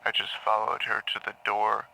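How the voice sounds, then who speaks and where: An actor playing a haunted man in an audio drama, confessing in halting, repetitive phrases to a grim crime. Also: on the low side, monotone, gravelly, pushed and bright, slightly rough, exaggerated voice, low-quality recording. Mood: numb, grim. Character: haunted man confessing to killing his parents in therapy. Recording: taped therapy session sound.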